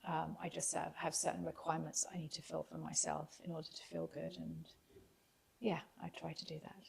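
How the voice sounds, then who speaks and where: A woman with a lowish, gravelly voice talks things through in a reflective, unhurried way. She pauses often and fills gaps with soft fillers while she finds her words. English is her first language. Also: level tone. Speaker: podcast guest. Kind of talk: reflective casual conversation.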